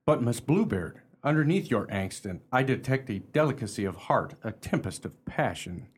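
A man's voice: mid-range voice, big pitch swings, moderate pace, breathy edge. A man playing a florid, fawning ensign, a captain's sidekick, in a theatrical comic period radio play. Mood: flowery.

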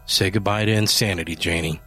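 A man playing a calm, cold husband who speaks quietly and firmly. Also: low-pitched, a little fast, husky, faint music behind, exaggerated voice. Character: calm, cold husband set on harming a cat.

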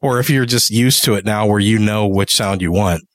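Guy podcast cohost, kinda low voice, casual chat, dry close sound. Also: moderate pace, fairly bright tone, no echo. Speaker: podcast co-host and audio producer.

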